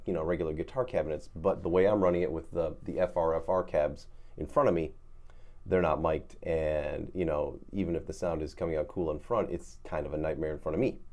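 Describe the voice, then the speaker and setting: A man's voice: deep voice, fairly quick, a little mellow. A man hosting a guitar video, talking casually to viewers in an informal, rambling way.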